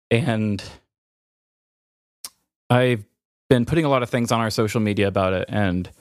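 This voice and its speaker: Male podcaster, lowish pitch, dry sound, hesitant and self-interrupting, personal and unscripted. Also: darkish tone, clean tone, no room sound. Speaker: podcast host. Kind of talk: candid personal podcast talk.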